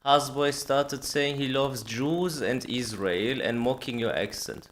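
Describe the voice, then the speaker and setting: A man's voice: mid-range voice, slow, a little hiss or hum. A man hosting a livestream, chatting informally and speaking straight to his viewers. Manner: informal, addressing viewers directly. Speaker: livestream host. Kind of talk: casual chat to stream viewers.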